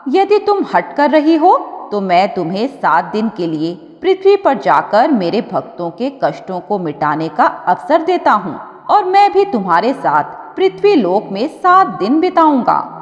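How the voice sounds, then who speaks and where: A woman storyteller voicing a calm, wise, patient male god who counsels in a measured way in a devotional tale. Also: fairly high pitch. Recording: dull audio with long reverb.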